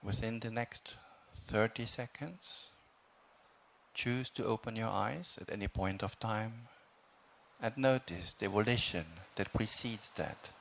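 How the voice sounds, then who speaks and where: A man teaching a guided meditation, speaking in calm, measured phrases with long pauses between them. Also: on the low side, vocal fry, slight background noise. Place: meditation hall.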